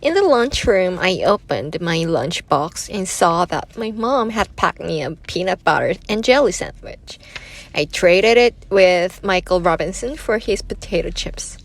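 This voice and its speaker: A woman reading a children's story aloud in an expressive, animated way that suits dialogue between characters. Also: lowish voice, unhurried. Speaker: read-aloud narrator.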